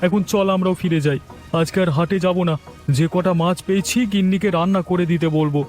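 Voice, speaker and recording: A man voicing a patient, kindly poor village father who fishes, speaking earnestly in an animated story. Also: high-pitched, a little fast, soft background music, lightly acted. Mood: resigned, kindly.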